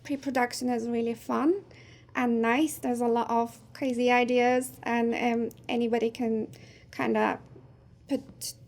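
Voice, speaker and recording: A woman in her thirties whose first language is Turkish, speaking as a guest in a casual interview, soft-spoken and thoughtful with frequent pauses. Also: a little high, flat delivery. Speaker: guest, game producer.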